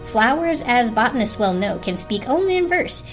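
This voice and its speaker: A woman's voice: on the high side, sing-song, normal speed, music in the background. A woman reading a storybook aloud as a dramatic narrator.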